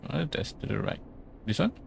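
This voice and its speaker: A man giving casual, low-key gameplay commentary, muttering to himself as he goes. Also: sing-song, fast talker, vocal fry, a little hiss or hum. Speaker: let's play gamer.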